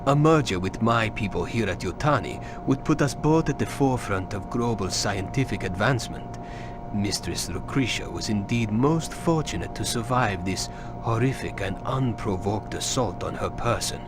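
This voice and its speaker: Actor as a low-voiced, formal corporate executive. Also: male voice, expressive, music in the background, close to an everyday voice. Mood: formal satisfaction. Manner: formal, measured, polite. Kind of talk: video game cutscene acting.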